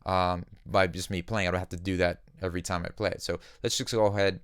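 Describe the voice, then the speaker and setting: A man giving a conversational software tutorial as a music production instructor, explaining things simply on a close microphone. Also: low voice, steady tone, fast talker, clean tone, no echo.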